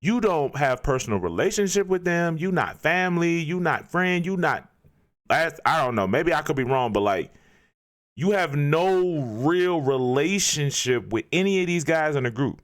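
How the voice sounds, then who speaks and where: A man's voice: on the high side, lively intonation, moderate pace. A man hosting a reaction video, ranting in disbelief and firing off rhetorical questions. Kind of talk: casual reaction commentary.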